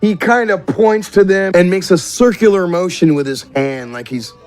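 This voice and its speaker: A man's voice: sits high, normal speed, darkish tone, faint music behind. A man running a tabletop roleplaying game as game master, improvising descriptive narration phrase by phrase. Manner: descriptive, piecing scenes together phrase by phrase.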